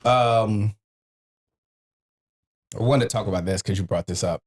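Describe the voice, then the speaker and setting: A man's voice: on the low side, measured pace. A man in a casual podcast chat, blunt and slangy, swearing freely and acting out the conversations he quotes. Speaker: podcast host.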